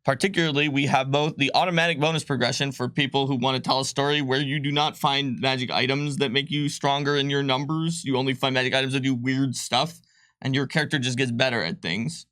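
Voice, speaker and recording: A man's voice: mid-range voice, steady tone, fairly quick, a bit bright, no room sound. A man co-hosting a casual livestream, explaining game rules with many fillers and restarts. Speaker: game designer co-host. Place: studio.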